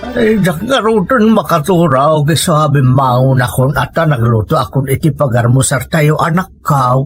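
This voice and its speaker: A woman's voice: deep voice, animated, moderate pace, husky, soft background music, heavily acted. A woman with a Filipino accent playing a grumbling old village father in an Ilocano audio comedy drama. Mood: caring.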